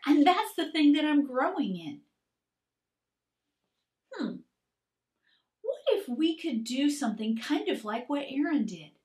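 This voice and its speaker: Slow, high-voiced woman teaching young children. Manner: gentle, encouraging, speaking to young children. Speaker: art teacher reading aloud to children. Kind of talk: expressive children's storybook read-aloud and lesson.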